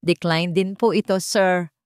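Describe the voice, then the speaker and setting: A nervous, polite waiter delivers bad news hesitantly and apologetically, voiced by a woman narrator. The pitch sits a little above her plain narration. The sound is dry and close to the mic. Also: fairly even intonation, measured pace, smooth, dry recording, lightly acted. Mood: apologetic.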